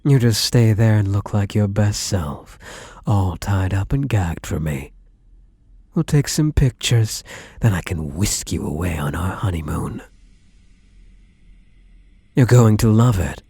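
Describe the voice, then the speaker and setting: A man playing a possessive, obsessively doting kidnapper in an intimate ASMR roleplay, hushed and menacingly tender, often slipping into whispers. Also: fairly low pitch, animated, slow, vocal fry, pauses often, husky, dry recording, heavily acted. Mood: gleefully possessive. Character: possessive male yandere kidnapper, obsessively doting on captive spouse.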